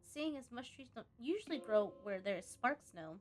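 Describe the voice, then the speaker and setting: A woman doing a casual let's play, reading on-screen game text aloud and breaking off to think out loud. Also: fairly high pitch, animated, moderate pace. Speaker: gaming video host.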